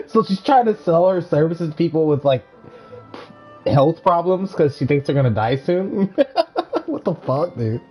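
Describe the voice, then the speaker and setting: A man's voice: a little high, huge pitch swings, a little slow, muffled recording, faint music behind. A man streaming a game, reacting casually and laughing with blunt, crude remarks. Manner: amused, swears freely, laughs.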